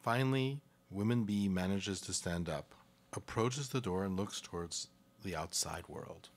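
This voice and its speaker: A man's voice: sits low, unhurried. A man narrating a live radio play, reading scripted stage directions.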